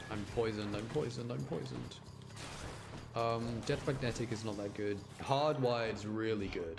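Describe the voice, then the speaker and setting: A man's voice: lowish voice, noisy background. A man giving casual, rambling live commentary while he plays a video game, chatting informally and muttering to himself. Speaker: streamer playing a video game. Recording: music playing behind. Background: video game music.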